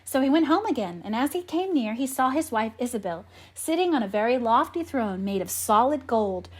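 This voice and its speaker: A woman narrating a storybook aloud in an expressive, measured manner. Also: fairly high pitch. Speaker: audiobook narrator.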